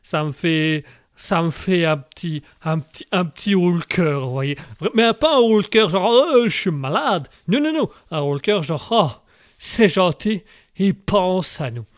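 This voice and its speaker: A man's voice: high-pitched, lively intonation, a little slow, no echo, studio quality. A man voicing a rustic male farmer who blusters, swears mildly and stammers. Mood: moved. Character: rustic, gruff but soft-hearted male farmer.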